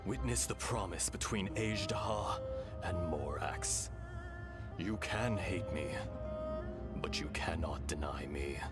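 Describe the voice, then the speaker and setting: A solemn, deep-voiced dragon spirit. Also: male voice, loud music behind the voice. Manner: solemn, measured, hushed storytelling. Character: gentle benevolent remnant of an ancient dragon in human form. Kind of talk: game cutscene dialogue.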